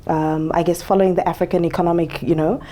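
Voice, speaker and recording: A woman from Zambia talks as a guest on an interview show. Her voice is somewhat low and mellow. She speaks reflectively and expressively, with frequent fillers. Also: little pitch movement, fast talker.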